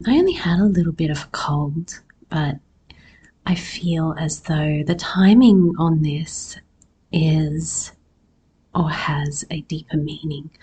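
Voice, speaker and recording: A woman podcast host with a husky, hoarse voice, talking personally and reflectively in an unhurried solo episode. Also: deep voice, mellow. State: hoarse after losing voice. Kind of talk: personal solo podcast talk about herbs.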